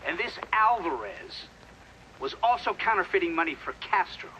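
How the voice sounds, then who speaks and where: A formal, insistent official questioner in a video game. His raised voice moves in lively pitch through dull, clearly noisy audio. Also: moderate pace, heavily acted. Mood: probing. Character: probing male official questioning a witness at hearing.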